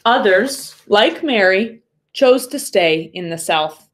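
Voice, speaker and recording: Slow-paced woman teacher reading to children. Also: medium pitch, animated. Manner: deliberate, instructive phrasing aimed at young pupils. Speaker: third grade teacher. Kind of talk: read-aloud storytime for children.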